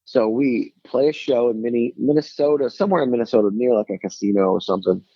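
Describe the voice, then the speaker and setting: A man's voice: medium pitch, fast talker, no echo. Southern guy on a muffled phone call, rambly and self-mocking, tons of restarts. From Tennessee, US. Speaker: guest musician. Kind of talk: casual phone interview storytelling. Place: at home by a lake.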